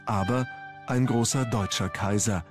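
Male documentary narrator, scripted delivery, fairly low pitch, clear music bed. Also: a little slow.

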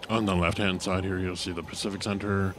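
Deep, flat-voiced man narrating a street walk. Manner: halting, many fillers and restarts. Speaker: walking tour guide. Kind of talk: casual walking tour narration. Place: city street outdoors with traffic. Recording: noisy street traffic behind.

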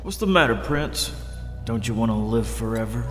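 An actor playing a brash, teasing soldier in an audio drama, with a cocky, taunting delivery. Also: male voice, medium pitch, expressive, moderate pace, lightly acted. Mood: mocking. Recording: cave reverb under loud music.

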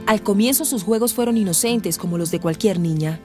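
A woman's voice: medium pitch, fairly quick, a little mellow, lightly acted. A woman narrating a serious radio soap opera from a script. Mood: serious storytelling. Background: music.

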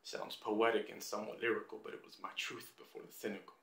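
Male actor as troubled man, low voice, brooding poetic monologue, emotive pauses, roomy echoing amateur film sound. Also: flat delivery, vocal fry, a bit bright. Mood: wistful, frustrated. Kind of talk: dramatic film monologue with rhymed spoken-word poetry.

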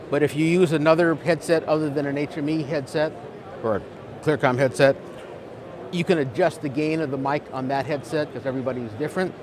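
A man in his sixties giving an informal, halting product demonstration, explaining as he goes and repeating words. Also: fairly high pitch. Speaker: product demonstrator at a trade show. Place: large indoor exhibition hall. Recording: busy trade-show crowd noise behind. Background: crowd chatter.

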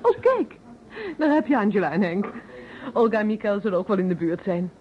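A woman's voice: mid-range voice, expressive, fairly quick, lightly acted. An actress playing a playful, chatty Roman lady in a vintage Dutch radio play, with a light teasing manner. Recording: tinny, noisy old radio recording.

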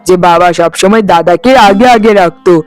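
An actor playing a blunt, impulsive, easily overwrought younger brother in a melodramatic cartoon story. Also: male voice, sits high, normal speed, lightly acted. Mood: resentful, impatient. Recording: music under the voice.

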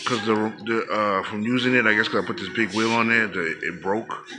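A man's voice: fairly low pitch, fairly even intonation, brisk, over music. An American man casually narrating a hobby show-and-tell in slangy, unscripted, laid-back phrasing, recorded indoors on a handheld camera. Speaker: hobbyist vlogger. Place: indoors at home, speaking from behind the camera.

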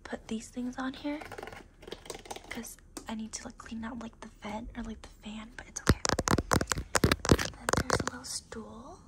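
A young woman losing her voice, whispering raspily in a casual, rambling ASMR desk tour, with lots of fillers. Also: fairly high pitch, fairly even intonation, normal speed, long pauses, heavy background noise. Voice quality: raspy. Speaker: ASMR creator.

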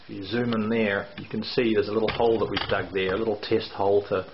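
A man's voice: fairly low pitch, level tone, fast. A middle-aged man giving a video tour of a house under renovation, explaining construction details in a hesitant way with many fillers, filmed on a handheld camera in a bare basement. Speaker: renovation contractor. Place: bare brick basement, indoors. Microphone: handheld camera mic. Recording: echoey, dull lo-fi with faint hiss.

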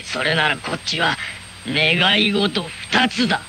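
An actor playing a sly, rough-talking male devil in a radio drama. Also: fairly high pitch, slow pace, bright, forceful tone, a little hiss or hum, heavily acted. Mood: scornful. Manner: swaggering, coarse street talk.

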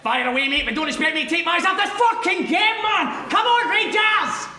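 A man playing a brash, taunting Scottish football supporter, swearing loudly in heated comic banter. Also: very high-pitched, animated, normal speed, exaggerated voice. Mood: rowdy, combative. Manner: loud, sweary, mocking banter. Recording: echoey, distant, noisy room recording.

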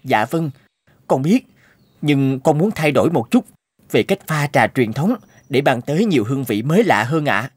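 A man's voice: medium pitch, big pitch swings, moderate pace, breathy edge, no room sound. A man playing an earnest, polite young teahouse owner in a cartoon, explaining things respectfully. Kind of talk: Vietnamese cartoon dub.

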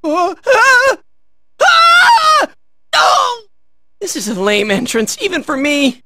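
Cocky american teen boy fighter, super high voice, bright and forceful, yelling game battle lines. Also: big pitch swings, normal speed, a little hiss or hum, heavily acted. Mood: breezy, self-satisfied. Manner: exclamatory, brash, high-strung. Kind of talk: video game battle barks.

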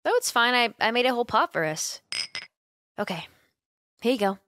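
A young woman voicing an affectionate, teasing, sleepy roommate in a gentle, intimate ASMR comfort roleplay, close to the microphone. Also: on the high side, animated, moderate pace, slight background noise. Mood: comforting, casual. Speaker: roleplay voice actor. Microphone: close microphone, dry.

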